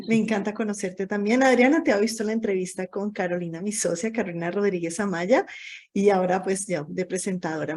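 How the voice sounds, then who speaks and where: A woman's voice: mid-range voice, darkish tone. A woman in her forties with a Colombian accent, talking casually about herself as an interview guest, often rambling and correcting herself. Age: 40s. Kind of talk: casual autobiographical talk. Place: online video call.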